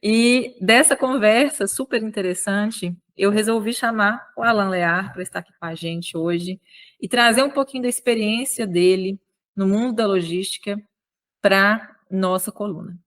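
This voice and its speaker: A woman's voice: mid-range voice, fairly bright tone. A woman hosting an interview, speaking in a friendly, chatty way. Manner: friendly, chatty, with quick laughs. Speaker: host, lawyer and columnist. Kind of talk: casual interview chat.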